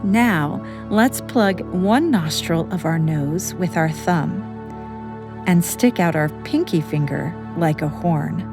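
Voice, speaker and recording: A woman narrating a children's bedtime story in a gentle, expressive way, speaking straight to young listeners. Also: lowish voice, takes it slowly, gravelly. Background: music.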